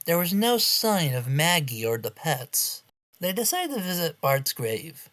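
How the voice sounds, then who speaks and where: A woman's voice: low voice, sing-song, unhurried, fairly bright tone. A woman reading a scripted horror story aloud as its narrator.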